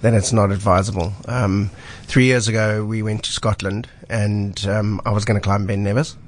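Mountain guide, man, low husky voice from a cold, relaxed, fills pauses with um. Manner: relaxed, frequent ums and repeated words. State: husky voice from illness. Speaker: mountain guide, weekly radio guest. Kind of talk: casual radio chat. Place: radio studio.

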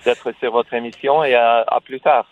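A man's voice: mid-range voice, fairly even intonation, moderate pace. A man whose first language is Russian, a guest cellist speaking French in a radio interview, hesitant with many fillers and restarts. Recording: tinny phone line.

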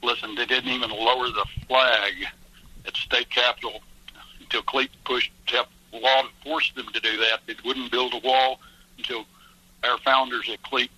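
A man's voice: mid-range voice, little pitch movement, normal speed, pushed and bright, husky, low-quality recording. A man calling in as a guest to a radio talk show, earnest and emphatic as he argues his point. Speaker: police association guest. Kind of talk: phone-in radio interview.

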